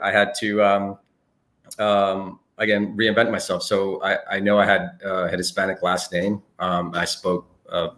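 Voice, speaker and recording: A very low-voiced man whose first language is Italian talks haltingly as an actor on a panel, full of ums and restarts. His voice rings in an echoey room. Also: a bit bright. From Italy. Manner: halting, many fillers and restarts. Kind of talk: panel discussion answer.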